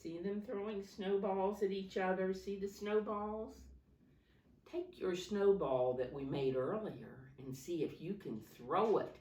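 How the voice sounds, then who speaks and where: A woman reading a picture story aloud to young children in a sing-song way, pausing to point things out and ask simple questions. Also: medium pitch, a little mellow. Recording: roomy echo, distant microphone.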